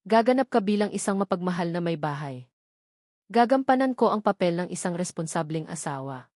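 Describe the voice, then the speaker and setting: A woman's voice: fairly low pitch, animated, brisk, darkish tone, dry recording, studio quality. A woman voicing a cold, controlled rich husband in an audio drama, giving curt, businesslike orders without emotion.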